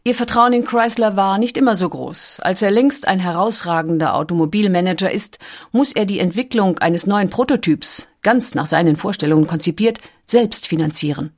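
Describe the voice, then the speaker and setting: A woman reading a documentary script as narrator, with a calm, clear storytelling delivery. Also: medium pitch, normal speed.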